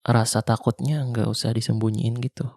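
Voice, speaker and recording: A young man with a Jakarta slang accent playing a weary ex-soldier survivor of a zombie apocalypse, speaking softly and intimately in an ASMR roleplay. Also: medium pitch, little pitch movement, vocal fry, no echo, clean studio sound. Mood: tender. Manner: soft, slow, intimate, half-whispered. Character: weary male ex-soldier survivor, tender protector. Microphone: close mic.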